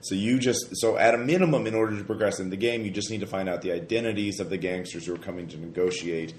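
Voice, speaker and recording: A man with a stuffy, slightly hoarse voice from a cold, narrating a tabletop game as game master in a rambling way, full of fillers and restarts. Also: low-pitched, steady tone, quick pace. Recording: roomy echo, faint noise.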